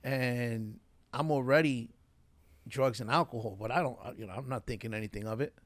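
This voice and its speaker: A man's voice: mid-range voice, normal speed, dry recording. A middle-aged man with a New York accent, a podcast guest telling his life story in casual, streetwise talk with lots of restarts. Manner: streetwise, repeats himself, says man a lot.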